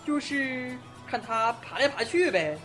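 A woman's voice: sits high, lively intonation, unhurried, fairly bright tone. A woman acting an exasperated, dramatic teenage classmate in an audio drama, scolding theatrically. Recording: music playing behind.

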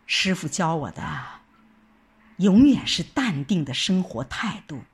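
A woman with a slightly low voice narrates an audio drama in the first person. Her delivery is very slow and reflective, with deliberate pauses and wide, expressive swings in intonation. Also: slightly rough. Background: background music.

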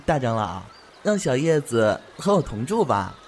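A man's voice: medium pitch, very animated, slow, slight background noise. An actor playing a cheerful, good-hearted young man who chats eagerly and laughs easily in an audio drama. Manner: bright, chatty, laughs easily.

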